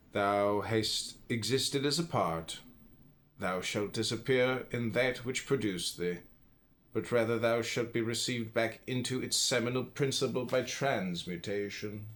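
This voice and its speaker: A man from the United States reading a philosophical text aloud in a measured, deliberate way, phrase by phrase with pauses. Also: mid-range voice, a bit bright. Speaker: audiobook reader.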